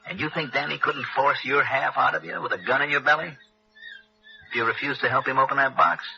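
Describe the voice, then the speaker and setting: Tough, blunt radio investigator. Rapid, very forceful delivery, commanding and clipped, 1960s detective drama. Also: male voice, medium pitch, steady tone, gravelly. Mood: threatening, hard. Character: tough, hard-boiled freelance insurance investigator, commanding. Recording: dull old radio broadcast, telephone-like.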